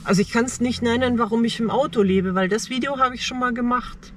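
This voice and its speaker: A middle-aged woman talking reflectively in short, halting phrases in a personal vlog, recorded inside a parked car. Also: fairly high pitch, fairly even intonation, slight background noise. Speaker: travel vlogger.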